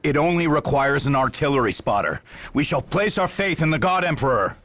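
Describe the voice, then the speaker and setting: A man's voice: a little high, expressive, fairly quick, a bit bright, no room sound. A man playing a stern, weary military general in a video game, speaking in grandiose speeches and clipped questions. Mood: grave, resolute. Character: stern, weary Imperial Guard general, zealous and commanding. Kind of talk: dramatic video game cutscene acting.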